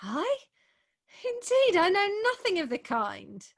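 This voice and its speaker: A woman playing a prim, excitable young Victorian bride in a comic play, with an English accent and emphatic, theatrical delivery, recorded at home over a video call. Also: very high voice, slow pace, creaky, exaggerated voice. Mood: amazed. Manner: theatrical, emphatic, indignant propriety. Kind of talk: comic stage-play acting.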